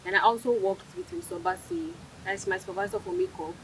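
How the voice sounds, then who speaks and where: A woman's voice: mid-range voice, very animated, fast, vocal fry, fairly bright tone. A woman talking informally in an interview as a crew member. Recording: distant mic, faint background noise.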